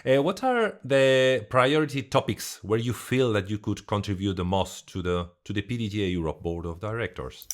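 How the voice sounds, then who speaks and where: A man hosting an interview, asking long questions in a halting way and rephrasing as he goes. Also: lowish voice, expressive. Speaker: interview host.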